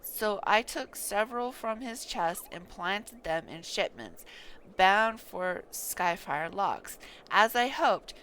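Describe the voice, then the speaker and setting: A woman's voice: mid-range voice, lively intonation, fairly bright tone, slight background noise, poor audio. A woman voicing an anguished knight in a fantasy game, confessing a guilty secret earnestly and solemnly. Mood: remorseful, earnest. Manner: earnest, solemn, halting over names. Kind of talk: dramatic reading of fantasy game dialogue.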